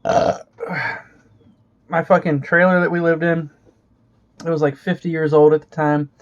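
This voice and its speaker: A young man from Florida talks casually at home with a slightly raised pitch, swearing freely and restarting his sentences. He fills his talk with uh and you know as he rambles. The recording sounds muffled and lo-fi. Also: little pitch movement, normal speed, clear, resonant tone. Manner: rambling, profane, many fillers and restarts. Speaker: vlogger. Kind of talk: casual chat.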